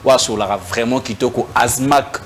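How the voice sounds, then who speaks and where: A man hosts a relaxed talk-show interview and moves briskly through quick questions. His voice sits at an ordinary middle pitch. A faint hum runs underneath. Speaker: interview host. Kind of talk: casual interview in French mixed with Lingala. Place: indoor studio set.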